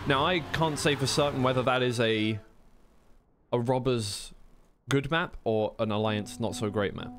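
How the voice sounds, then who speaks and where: A man's voice: mid-range voice, measured pace, a bit bright, soft background music. A man giving live commentary on a racing game in an informal, slightly flustered way, thinking aloud between calls. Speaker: esports commentator and streamer. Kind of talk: live racing game commentary mixed with casual stream chat. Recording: clear noise, game car engines behind.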